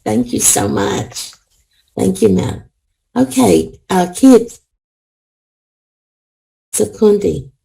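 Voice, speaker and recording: A woman's voice: medium pitch, sing-song, extremely slow, creaky, pauses often, warm tone, low-quality recording. A woman professor leading an online class, calling on each participant and taking care to pronounce their names. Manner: careful with names, checks pronunciation. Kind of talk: calling on participants in a video meeting. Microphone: video call.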